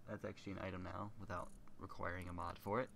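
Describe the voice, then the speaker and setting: A man's voice: low voice, flat delivery, fast talker, vocal fry, fairly bright tone, slight background noise. A young man hosting casual gameplay commentary, stuffed up with a cold and rambling as he thinks aloud. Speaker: gaming channel host.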